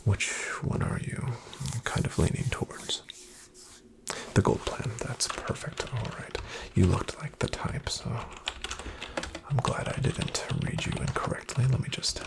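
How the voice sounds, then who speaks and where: Guy doing whispery asmr advisor roleplay, really gravelly fry, polite reassuring sales talk. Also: mid-range voice, lively intonation, a little slow. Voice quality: soft, breathy, mostly whispered. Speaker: ASMR roleplayer playing a financial investment advisor. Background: computer keyboard typing.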